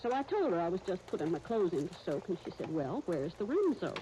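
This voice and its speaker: An actress playing a folksy, bossy older dressmaker, rambling through stories briskly in a 1930s radio advertising skit. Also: female voice, lowish voice, big pitch swings, mellow, rough recording. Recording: hissy 1939 radio disc recording.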